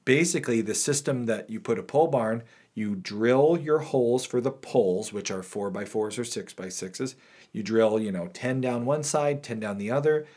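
Animated man in his thirties. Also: medium pitch, normal speed, breathy edge. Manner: animated, joking, gestures a lot. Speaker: podcast co-host. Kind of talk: casual chat. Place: small studio room with wood-panel wall.

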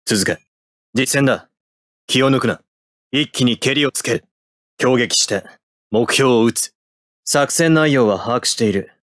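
A man's voice: mid-range voice, measured pace, long pauses, fairly bright tone, dry recording, clean studio sound, natural voice. A man playing a cold, stoic young mecha pilot in an anime video game, speaking in terse, clipped military phrases. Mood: cold, controlled. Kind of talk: anime game battle voice lines.

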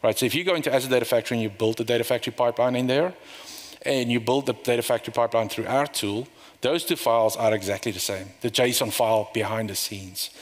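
A middle-aged man giving a conference talk, walking through a live software demo in a loose, rambling way with fillers and restarts. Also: mid-range voice, fast talker. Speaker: conference speaker. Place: conference room. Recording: long reverb of a hall.

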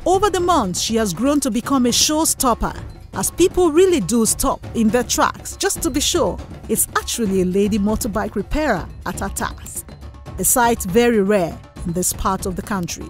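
A woman's voice: fairly high pitch, lively intonation, normal speed. A woman reading scripted documentary narration as the narrator of a profile. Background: music.

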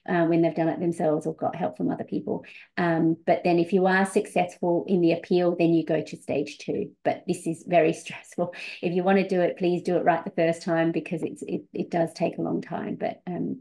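Creaky-voiced woman hosting a webinar. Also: lowish voice, dark tone, low-quality recording. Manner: many um fillers, earnest. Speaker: visa coach and webinar host. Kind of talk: webinar presentation. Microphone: video call.

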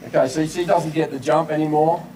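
A man's voice: a little high, fairly even intonation, fast talker, dark tone. An older man with an Australian accent, a golf coach explaining technique conversationally at an outdoor clinic. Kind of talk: live golf clinic demonstration. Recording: distant camera mic outdoors, background noise.

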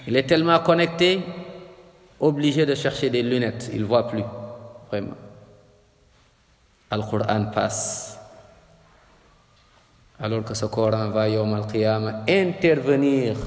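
A man's voice: medium pitch, unhurried, pauses often. A man preaching a sermon as an imam, emphatic, stretching his words and rising on repeated lists. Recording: echoey hall.

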